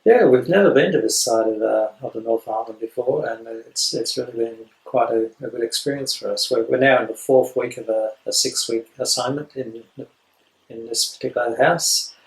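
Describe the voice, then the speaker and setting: An Australian man in his late fifties talking about house sitting, rambling with many pauses and dry jokes. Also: medium pitch, echoey room, low-quality recording. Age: 50s. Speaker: house sitter. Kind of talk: casual self-introduction video.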